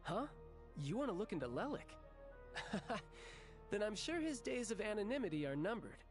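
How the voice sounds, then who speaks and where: Genteel young schemer, higher voice, theatrical, teasing, articulate, video game dialogue, faint music. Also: male voice, sing-song, normal speed. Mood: playful, smug. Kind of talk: video game character acting.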